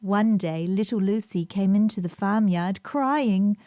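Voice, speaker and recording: A woman narrating a scripted children's story as a storybook reader, with gentle music behind her. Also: medium pitch, unhurried, dry recording, studio quality. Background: gentle background music.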